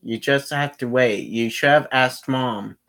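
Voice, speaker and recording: A game streamer giving live commentary on a visual novel, exclamatory and sweary, halting and often repeating phrases. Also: medium pitch, fairly bright tone. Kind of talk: live visual novel playthrough commentary, reading lines aloud.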